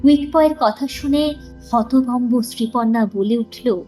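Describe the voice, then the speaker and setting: A woman narrating a scripted story in a measured, expressive storytelling manner. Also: fairly high pitch, soft background music.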